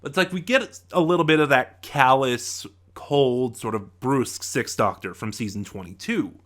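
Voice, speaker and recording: A man with a middling pitch talks to viewers as a reviewer. His delivery is casual and unscripted. He halts now and then, trails off and circles back to finish a thought. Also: moderate pace, fairly bright tone. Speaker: reviewer, host of audio drama reviews.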